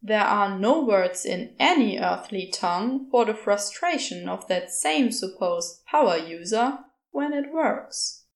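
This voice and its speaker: A woman's voice: mid-range voice, animated, slow. A woman reading a story aloud as its narrator, in scripted prose narration. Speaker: podfic narrator.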